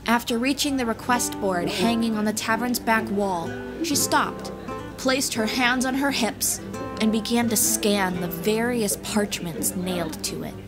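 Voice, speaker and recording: A woman's voice: fairly high pitch, unhurried. A woman narrating a scripted fantasy audiobook as a storyteller. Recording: music playing behind.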